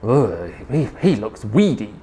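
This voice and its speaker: A man voicing a disdainful mother Tyrannosaurus who grumbles in drawn-out phrases, in a children's storybook. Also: medium pitch, very animated.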